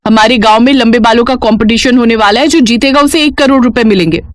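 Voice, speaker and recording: A woman voicing a suspicious, scheming young sister-in-law in a storybook tale, excitable and nagging. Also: on the high side, quick pace, no echo, clean studio sound, pitched above the performer's own voice, close to an everyday voice. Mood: urgent. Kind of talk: Hindi moral storybook character voice.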